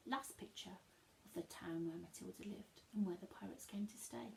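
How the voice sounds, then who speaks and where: A woman reading a storybook aloud to children as a teacher would, animated and emphatic, talking directly to young listeners. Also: mid-range voice, creaky, a little hiss or hum, low-quality recording.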